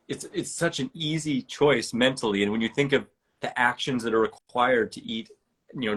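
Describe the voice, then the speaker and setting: Husky man, earnest and rambling. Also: fairly low pitch, fairly bright tone. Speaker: podcast host. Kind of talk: casual interview chat.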